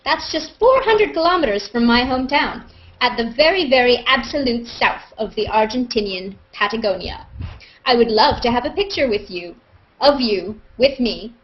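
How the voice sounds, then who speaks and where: Woman, high voice, animated hangout host, casual chat, lo-fi video call audio. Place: online group video call.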